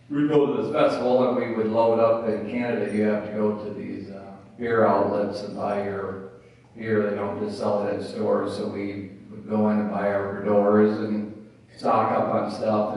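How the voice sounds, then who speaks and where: A middle-aged or older man telling informal anecdotes in a halting, amused way, as a speaker at a gathering. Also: on the low side, darkish tone, dull audio. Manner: halting, chuckles through his stories. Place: echoey room at a memorial gathering. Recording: echoey room, distant mic, faint noise.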